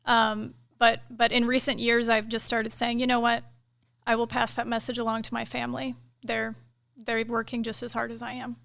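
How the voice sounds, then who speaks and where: A middle-aged woman, a military pilot, talking through her career as a guest in a casual interview, relaxed and thoughtful, with many fillers. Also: fairly high pitch, moderate pace, gravelly. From United States. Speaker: KC-135 pilot and director of inspections, guest.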